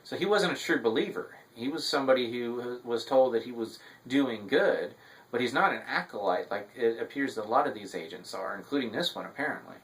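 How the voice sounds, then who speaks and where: Male reviewer, mid-pitched voice, semi-scripted commentary, hesitant delivery with frequent filled pauses. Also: fairly bright tone, rough recording. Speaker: TV episode reviewer.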